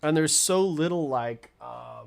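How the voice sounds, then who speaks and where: A man hosting a casual livestream, answering chat in a rambling, relaxed way with a stuffy nose and lots of fillers. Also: on the high side, measured pace, gravelly.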